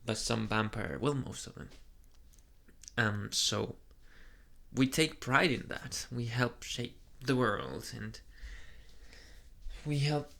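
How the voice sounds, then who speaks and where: A man voicing a soft, flustered young male vampire in an ASMR boyfriend roleplay, speaking hesitantly and earnestly in an intimate murmur. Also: mid-range voice, slow pace, gravelly, long pauses, heavily acted. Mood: earnest, proud.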